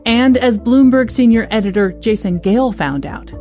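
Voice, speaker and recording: Woman hosting a news bit, brisk punchy read, soft music under it. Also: a little high. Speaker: news host. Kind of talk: scripted news intro. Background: faint music.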